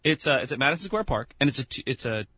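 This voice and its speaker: A man's voice: mid-range voice, lively intonation, quick pace, no room sound, muffled recording. A man co-hosting a radio show, chatting casually and enthusiastically, rambling with many restarts.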